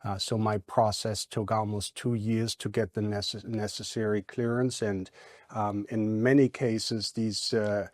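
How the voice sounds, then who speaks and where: German-accented man, halting speech. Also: lowish voice, level tone, a little mellow, dry recording, clean studio sound. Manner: halting, many fillers and restarts. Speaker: guest attorney. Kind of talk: studio interview. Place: TV studio.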